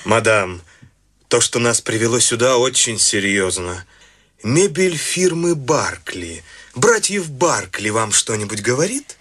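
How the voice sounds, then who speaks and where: A husky, officious repo agent. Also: male voice, mid-range voice, sing-song, a little slow, a bit bright, full character voice. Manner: formal, officious, emphatic. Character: stern officious furniture-firm agent, middle-aged man. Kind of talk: radio play acting.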